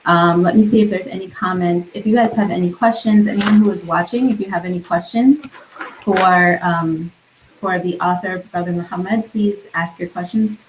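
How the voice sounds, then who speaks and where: A woman's voice: on the low side, moderate pace. Woman hosting casually, echoey room. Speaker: event host and interviewer. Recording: roomy echo, faint background noise.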